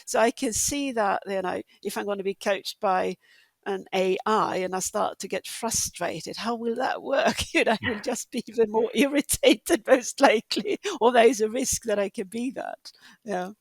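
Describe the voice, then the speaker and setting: Female host with a Swedish accent, native Swedish speaker, chatty, gravelly, dry sound. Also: mid-range voice, moderate pace, no room sound. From southern Sweden. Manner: chatty, laughs between phrases. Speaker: podcast host. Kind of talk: conversational interview.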